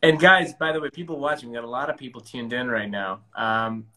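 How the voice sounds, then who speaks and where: Bright, eager male stream host. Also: medium pitch, lively intonation, brisk. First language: Punjabi. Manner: enthusiastic, stumbles and repeats words. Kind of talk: casual live-stream interview.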